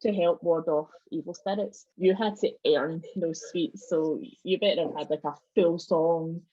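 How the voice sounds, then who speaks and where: A woman's voice: fairly low pitch, steady tone, normal speed, warm tone, dry recording. A woman with a Scottish accent giving an informal, wry presentation on folk traditions over a video call. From Scotland. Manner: wry, joking asides. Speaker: club member giving a presentation. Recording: slightly dull video-call audio.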